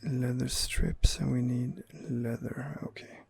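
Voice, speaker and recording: A man doing ASMR gameplay commentary, musing to himself in a hesitant, unhurried way. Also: medium pitch, fairly even intonation, gravelly, darkish tone, slightly rough, no room sound. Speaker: video game player narrating his playthrough. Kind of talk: ASMR game commentary, murmured in-character musing.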